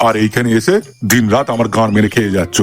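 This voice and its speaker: A man's voice: fairly low pitch, steady tone, fast talker, close to an everyday voice. A man voicing an exasperated, foul-mouthed middle-aged brother-in-law in a comic cartoon, scolding and sarcastic. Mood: sarcastic, taunting.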